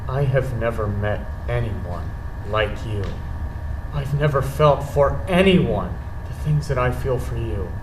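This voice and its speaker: An earnest young working-class boyfriend with wounded pride, in a live stage play. He is plain-spoken and intense, in a medium-pitched voice. The sound is roomy and picked up from far off, with faint noise. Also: expressive, takes it slowly. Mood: pleading, devoted. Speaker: stage actor. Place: indoor theatre stage, far from microphone. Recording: distant, echoey theatre recording with faint noise.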